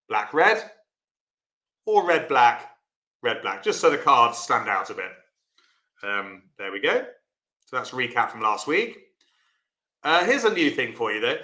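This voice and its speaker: A man teaching an online bridge lesson, chatty and encouraging, explaining step by step in a loud voice. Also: medium pitch, reverberant.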